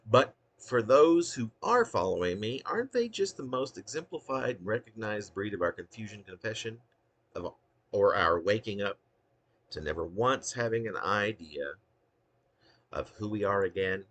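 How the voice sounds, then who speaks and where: Male poet, low-voiced and husky, for a wry, dramatic reading of humorous autobiographical verse. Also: animated, moderate pace, a bit bright. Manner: theatrical, wry, with emphatic swoops. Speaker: poet reading own work.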